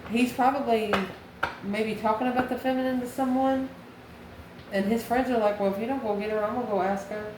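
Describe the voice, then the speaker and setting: A woman's voice: fairly high pitch, brisk. Lady doing a casual tarot reading, asks herself stuff then answers, roomy echo and noisy background. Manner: musing, asks and answers own questions. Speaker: tarot reader and channel host. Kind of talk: informal tarot card reading, talking to the viewer. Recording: echoey room with steady background noise.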